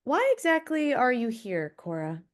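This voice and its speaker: A woman's voice: a little high, big pitch swings, normal speed. A woman playing a guarded, clinical middle-aged pediatrician in a script table read. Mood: skeptical, clinical.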